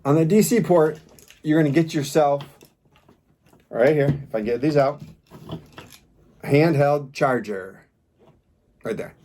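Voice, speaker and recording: A man's voice: fairly high pitch, slow, long pauses, dark tone, slight background noise. A man doing an informal product review, talking casually as he demonstrates gear step by step.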